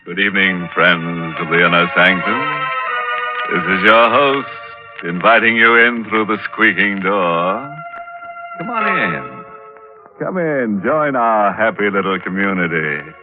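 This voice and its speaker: An actor playing a ghoulish, mock-friendly horror host in 1940s radio style, making macabre wisecracks with drawn-out words. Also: male voice, low voice, very animated. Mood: sly mock-friendly. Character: ghoulish, jovially sinister male horror show host. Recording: old muffled radio recording with music.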